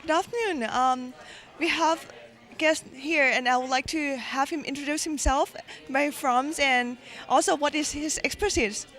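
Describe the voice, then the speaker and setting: A woman with a high-pitched voice interviews guests at a conference. She asks short, polite, prepared questions and thanks each guest in turn. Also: normal speed, a bit bright.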